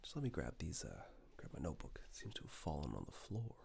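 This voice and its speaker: A man speaking softly and breathily in an unhurried ASMR roleplay, playing a polite tailor who murmurs instructions with pauses. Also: wildly swooping pitch. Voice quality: soft, breathy, half-whispered low voice. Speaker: ASMR performer playing a tailor taking suit measurements. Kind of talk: soft-spoken ASMR roleplay, personal attention.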